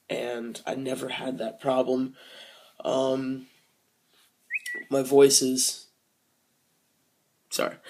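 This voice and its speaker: A young man recording a casual video diary, speaking hesitantly with many fillers and restarts, soft and reflective. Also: mid-range voice. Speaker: vlogger.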